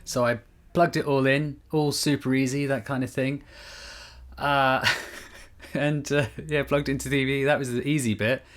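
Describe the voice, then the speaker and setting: Relaxed man telling a chatty story. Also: mid-range voice, a little slow. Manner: relaxed storyteller, chuckles often, mild hesitations. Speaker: podcast co-host. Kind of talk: casual chat.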